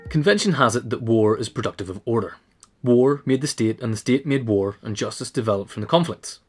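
Male reader with a rough-edged voice, measured essay narration, faint music behind. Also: mid-range voice, lively intonation.

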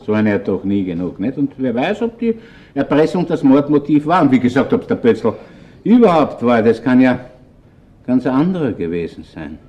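A man acting a shrewd, chatty police chief inspector in a radio crime drama, with a Viennese accent and a deliberate, probing way of talking. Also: mid-range voice, big pitch swings, lo-fi sound, close to an everyday voice. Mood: pointed, deliberate. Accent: Viennese Austrian. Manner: colloquial, deliberate, insinuating. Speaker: actor.

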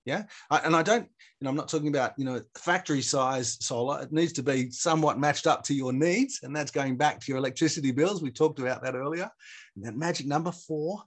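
A man's voice: fairly high pitch, a little fast. A middle-aged man explaining things informally and plainly in a webinar presentation, on a video call. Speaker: presenter from an energy foundation.

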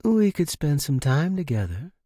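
A man reading an audiobook, voicing a flirtatious woman pilot, tentative and gently hopeful, with drawn-out phrasing. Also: on the high side, very animated, dry recording, studio quality. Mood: inviting. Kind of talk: character dialogue in audiobook reading.

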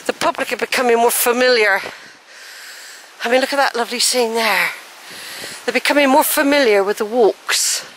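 A woman's voice: a little high, moderate pace, a bit bright, slight background noise, low-quality recording. An older woman, chatting and thinking aloud as she films a woodland walk, a little wheezy. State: a bit wheezy. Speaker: walking vlogger. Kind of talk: casual walking commentary. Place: wooded track outdoors. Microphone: handheld camera while walking.

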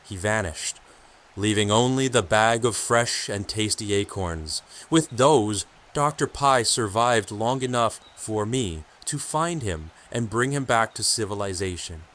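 An actor playing a solemn keeper of sacred lore in an audio drama, measured and portentous as a storyteller. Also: male voice, on the low side, animated, a bit bright. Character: solemn male sage, keeper of sacred word.

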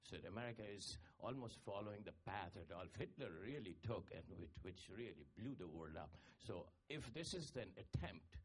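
A man from India, speaking up from the audience at a panel to ask a question, halting with many fillers and restarts. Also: fairly low pitch, expressive, breathy edge. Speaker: audience member asking a question. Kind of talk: spontaneous question from the floor at a panel. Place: conference hall audience.

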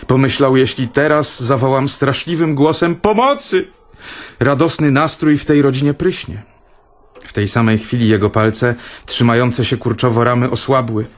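An actor playing a vain, theatrical older brother in a radio play, telling tales in a pompous manner. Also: male voice, medium pitch, close to an everyday voice. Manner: pompous, storytelling.

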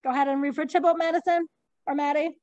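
A high-voiced woman teacher runs a class play reading. She calls on readers and narrates stage directions with animated, informal asides, recorded dry and close. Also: fast, no echo, lo-fi sound. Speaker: teacher leading a class read-through.

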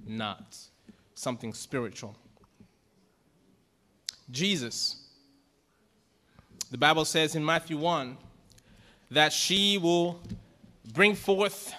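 A man's voice: a little high, sharp tone. A middle-aged man preaching a sermon from a pulpit microphone in a church, asking the congregation questions and rising to emphatic peaks. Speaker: pastor. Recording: long church hall reverb.

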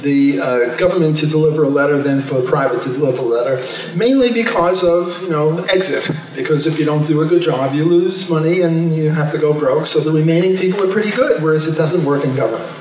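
Older man, slightly high voice, wry chatty speaker, handheld mic, echoey hall heard from a distance. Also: brisk, a little mellow. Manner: chatty and wry, self-amused asides. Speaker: economist speaking at a convention. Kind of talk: informal convention talk. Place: large hotel ballroom stage. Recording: echoey ballroom from the camera.

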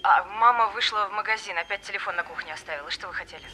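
An actress in an audio drama playing a composed, frank teacher, talking matter-of-factly through a telephone. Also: female voice, mid-range voice, racing, pushed and bright, slight background noise. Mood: brisk, guarded. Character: composed, frank female teacher, daughter of elderly tutor.

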